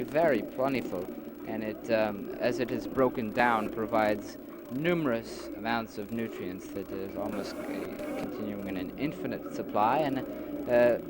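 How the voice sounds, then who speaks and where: A man playing a calm, learned leader of an afterlife settlement in an amateur audio drama, speaking in a halting, deliberate, formally worded way. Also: mid-range voice, natural voice. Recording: noisy homemade 1990s recording, outdoor ambience.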